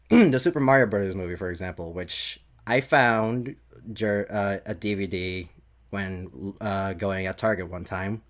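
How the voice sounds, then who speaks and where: A man hosting a casual podcast chat, talking in a halting way and swearing freely. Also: low-pitched. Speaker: podcast host.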